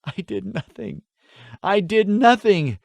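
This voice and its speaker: An anguished young villager played by a male narrator, emphatic and full of self-blame. The pitch climbs above plain narration, in a very dry audiobook recording. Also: wildly swooping pitch, takes it slowly, dry recording, exaggerated voice. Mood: anguished self-loathing.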